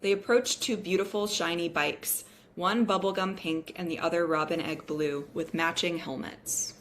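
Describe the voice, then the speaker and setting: A woman's voice: lowish voice, steady tone, moderate pace, a bit bright. A woman reading the scene directions of a script as narrator at a table read.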